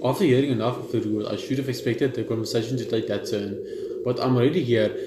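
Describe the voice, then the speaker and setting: A young man hosting a gaming video, reading game text aloud haltingly with casual commentary. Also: medium pitch, fairly even intonation. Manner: halting when reading, stumbles over words. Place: indoor room at home. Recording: roomy echo, noticeable background noise.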